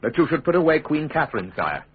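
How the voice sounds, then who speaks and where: An actor playing a principled, deferential statesman in a classic radio drama, speaking in a measured, formal and courteous way. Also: fairly high pitch, big pitch swings, slightly rough, full character voice. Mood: anguished, sincere. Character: principled, deferential male statesman, grave and careful. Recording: muffled, narrow-band radio broadcast.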